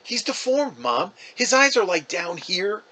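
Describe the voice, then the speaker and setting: A man's voice: high voice, big pitch swings, unhurried, sharp tone, voice pushed up, exaggerated voice, poor audio. A man voicing a reluctant, argumentative schoolboy, the older brother in a storybook, exasperated and insistent.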